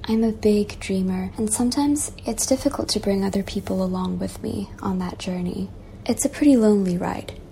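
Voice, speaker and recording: A woman in her twenties from Malaysia, reading a personal essay aloud in an earnest, measured way with repeated refrains. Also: medium pitch, gravelly, mellow, a little hiss or hum. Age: 20s. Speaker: guest essayist. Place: childhood bedroom at home.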